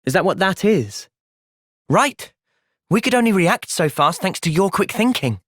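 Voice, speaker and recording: A man playing an earnest, gentle, resolute young soldier in video game cutscenes. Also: high-pitched, sing-song, measured pace, no room sound, studio quality, full character voice. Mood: thoughtful. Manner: earnest, thoughtful. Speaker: voice actor.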